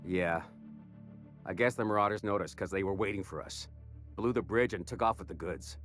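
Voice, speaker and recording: An actor playing a wounded, wary smuggler in a video game, with a folksy drawl and short breath. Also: male voice, sits low, moderate pace, fairly bright tone, soft background music. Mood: weary, helpful. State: winded from a wound. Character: wounded, wary, gritty frontier smuggler man.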